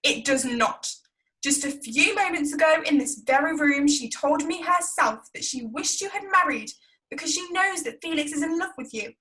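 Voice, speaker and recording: A woman's voice: high-pitched, lively intonation, moderate pace, sharp tone, rough recording. A young woman playing a meddling, self-righteous married society gossip in a stage play, haughty and insinuating. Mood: prim, accusing. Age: young adult.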